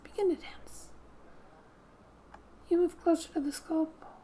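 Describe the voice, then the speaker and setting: Soft, high-voiced woman doing ASMR gaming. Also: fairly even intonation, moderate pace, slight background noise. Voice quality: soft, often dropping to a whisper. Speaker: video game streamer. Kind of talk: soft-spoken ASMR gaming commentary and read-aloud. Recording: quiet background music. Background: soft music.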